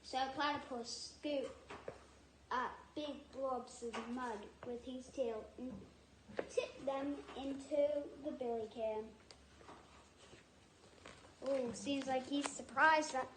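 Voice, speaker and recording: A woman reading a picture book aloud in a halting, deliberate way, stopping to add personal asides. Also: high voice, fairly even intonation. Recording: echoey room with faint noise.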